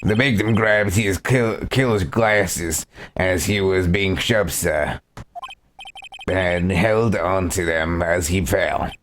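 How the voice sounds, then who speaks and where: A man's voice: low-pitched, slow, fairly bright tone, a little hiss or hum. A man voicing a stern, fussy judge in a video game, formal but stumbling over words. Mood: grave, deliberate. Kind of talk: voiced video game playthrough. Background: video game music.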